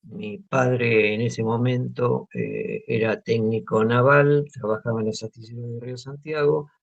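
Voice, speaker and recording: An Argentine man speaks in a gravelly, creaky voice, pausing often and restarting his sentences. The sound is muffled and lo-fi, as if from a modest interview recording. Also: mid-range voice, lively intonation. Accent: Argentine Spanish. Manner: hesitant, many fillers and restarts. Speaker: guest artist. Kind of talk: relaxed interview talk. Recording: muffled lo-fi audio.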